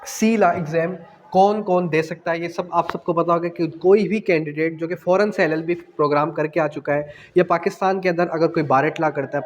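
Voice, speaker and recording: A man with a Pakistani accent lecturing exam students, explaining by posing questions and answering them, mixing in English phrases. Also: fairly high pitch. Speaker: law exam tutor. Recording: long hall-like reverb.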